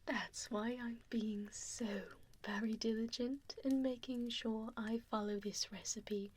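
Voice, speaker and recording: A woman voicing an evil, possessive female vampire in a breathy ASMR whisper, deliberate and mockingly polite, speaking close into a binaural mic. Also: a little high, steady tone. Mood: mock-sweet menace. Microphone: binaural ear microphone, close up. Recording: close binaural mic with audible background noise.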